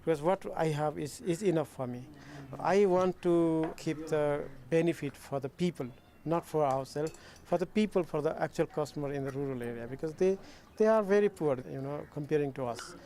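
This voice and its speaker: A man with a Nepali accent speaking unscripted in an interview, haltingly and repeating his phrases. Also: on the high side, warm tone. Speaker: small business owner and manufacturer.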